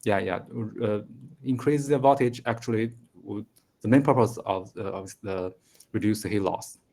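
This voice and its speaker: Student, Mandarin-native man in his 30s, hesitant non-native English, lowish voice. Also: normal speed, warm tone. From China. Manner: hesitant, many fillers and restarts. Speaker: engineering student. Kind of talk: casual conversation lesson.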